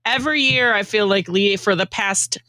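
A woman's voice: medium pitch, normal speed, bright, forceful tone. A woman co-hosting a podcast, switching between light scripted skits and casual banter. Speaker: podcast co-host.

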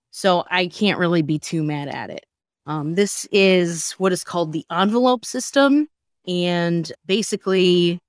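A woman's voice: on the low side, dry recording, studio quality. A woman hosting a podcast in a casual, comedic chat style, informal and full of slangy asides. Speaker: podcast host.

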